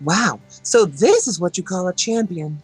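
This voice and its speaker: A woman's voice: on the low side, lively intonation, measured pace, breathy edge, soft background music, full character voice. A woman voicing a flirtatious, gleeful half-demon castle maid in a fan-dubbed fantasy game, coy and gushing.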